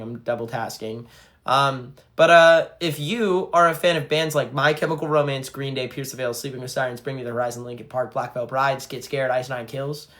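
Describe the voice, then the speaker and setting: A young man talks casually on a phone livestream, reading out fan comments and chatting between them. His voice sits a bit high for a man, with a bright, forceful edge. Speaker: band frontman livestreaming to fans.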